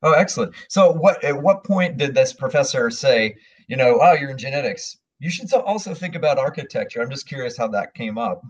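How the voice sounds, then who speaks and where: A man hosting an interview podcast, asking questions in a friendly, halting way with frequent fillers. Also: medium pitch, animated. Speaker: podcast host.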